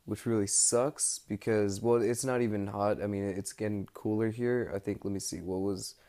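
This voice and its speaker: A young American man recording a casual, rambling spoken diary, pausing often mid-thought as he searches for words. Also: lowish voice, steady tone, mellow. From United States. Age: young adult. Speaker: study-abroad student keeping an audio log.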